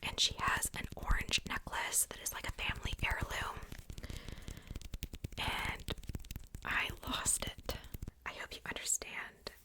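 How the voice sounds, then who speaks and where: A woman speaking in a breathy, unvoiced whisper in a casual ASMR show-and-tell style, hushed and informal. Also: measured pace, pauses often, poor audio. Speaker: ASMR video creator.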